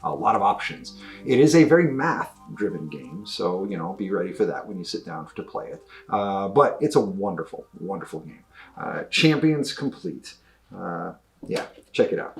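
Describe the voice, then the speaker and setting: A man's voice: low-pitched, animated, normal speed, creaky, soft background music. A middle-aged man giving a casual, enthusiastic and discursive review of new tabletop games as a store presenter. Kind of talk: casual product review talk to camera.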